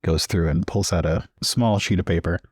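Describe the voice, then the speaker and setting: A man improvising in character and narrating as a player in a tabletop game, measured and careful. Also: low voice, darkish tone, slightly rough, dry recording, clean studio sound. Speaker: player in a tabletop role-playing podcast.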